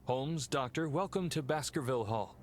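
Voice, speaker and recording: An actor playing an earnest, uneasy aristocratic heir in a video game, speaking formally and courteously. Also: male voice, medium pitch, moderate pace, a little hiss or hum, lightly acted. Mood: formal, somber. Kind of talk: scripted video game dialogue.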